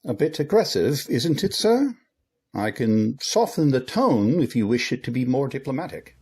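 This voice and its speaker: A man's voice: medium pitch, very animated, moderate pace, darkish tone, husky. A man playing a deferential royal servant and herald in an audio drama, speaking formally. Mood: meek, cautious.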